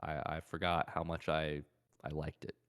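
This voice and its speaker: A man's voice: very deep voice, flat delivery, normal speed, fairly bright tone, clear, resonant tone. A man hosting a podcast, chatting casually about video games and often circling back to repeat his phrases. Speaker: podcast host.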